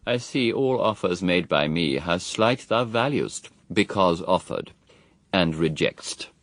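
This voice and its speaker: An actor playing Satan as a proud, cunning, persuasive fallen angel in a verse radio drama, speaking in a grand, measured, rhetorical manner. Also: male voice, sits low, animated, no echo, full character voice. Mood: proud, imperious.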